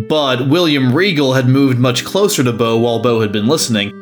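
A man narrating a scripted story. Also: mid-range voice.

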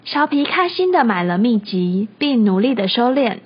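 Husky woman narrating a comic story. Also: a little high, very animated, moderate pace. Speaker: host and narrator, bookstore clerk. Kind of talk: scripted storybook-style comedic narration. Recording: slightly muffled recording.

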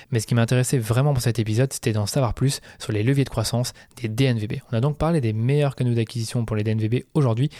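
Fast-talking podcast host, dry close sound. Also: male voice, mid-range voice, dark tone, dry recording. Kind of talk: scripted podcast intro then casual interview.

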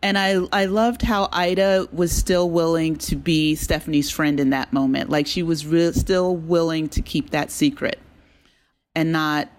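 A woman being interviewed as a drama creator, talking casually with an animated, enthusiastic manner. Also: low-pitched, a little slow.

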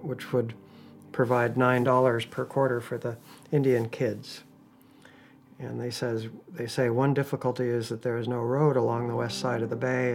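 A man with a mid-pitched, fairly flat voice talks informally about research. He is matter-of-fact and a little halting, as if going through notes. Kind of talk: informal talk, reading out quotes.

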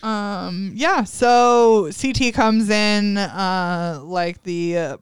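A chatty young woman recapping a show for her podcast, her voice crisp and very clear. She giggles and fills gaps with ums, and the recording is dry and close. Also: mid-range voice, drawn-out words, no echo. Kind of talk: casual chat.

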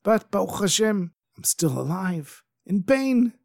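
Poor innkeeper in agony, high strained moans, put on by a male storyteller. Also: big pitch swings, a little slow, gravelly, darkish tone, no echo, studio quality, exaggerated voice. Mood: pleading. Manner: moaning, pained cries.

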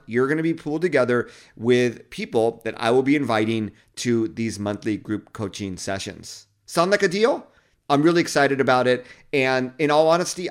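A male podcast host speaks to his listeners in an enthusiastic, chatty manner. His voice has an ordinary middle pitch. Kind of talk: podcast intro and interview.

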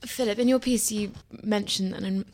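Female podcast host, mid-pitched, measured delivery, reads introductions from a script. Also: fairly even intonation, darkish tone. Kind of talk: hosting a magazine discussion podcast.

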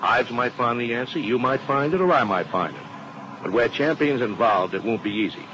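An actor playing an earnest, uneasy insurance agent in a 1950s radio drama, heard on a muffled, hissy old broadcast. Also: male voice, medium pitch, animated, normal speed, pushed and bright. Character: worried male insurance agent, earnest partner.

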